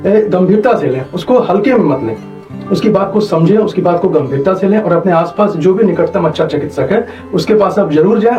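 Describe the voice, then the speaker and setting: A man's voice: high voice, mellow. A man explains medical matters didactically as an interview guest, repeating points and checking that he is understood. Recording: dull, echoey, distant mic, faint music behind.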